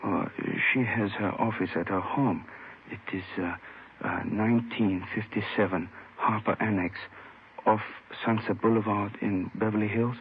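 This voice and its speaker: A tinny, slightly noisy old radio recording carries a low-voiced gentleman played by a man, an injured jade dealer. He is formal, polite and pained, picking his words carefully in vintage drama style. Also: fairly even intonation, moderate pace, lightly acted. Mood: careful, courteous. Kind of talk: 1950s radio drama acting.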